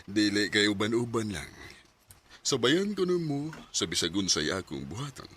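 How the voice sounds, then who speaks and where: A man's voice: lowish voice, very animated, normal speed, fairly bright tone, slight background noise. An actor with a Cebuano Filipino accent playing a casual, generous, well-off man in a radio drama, mixing in English phrases. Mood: teasing, assured. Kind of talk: Bisaya radio drama acting.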